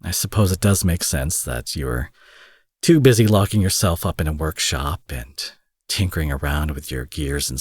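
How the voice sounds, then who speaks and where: A rough-edged, husky male supervillain, acted by a man for an intimate audio roleplay, recorded close and dry. He is menacing yet fiercely protective, emphatic and sweary, with a coaxing, tender streak. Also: very deep voice, no echo, exaggerated voice. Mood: dry, exasperated.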